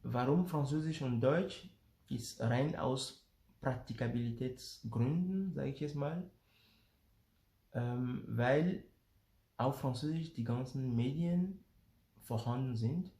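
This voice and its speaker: Slow, gravelly man from Benin. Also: medium pitch, warm tone, roomy sound. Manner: slow, hesitant, many fillers and repeated words. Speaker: father sharing family experience. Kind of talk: casual explanatory talk to camera.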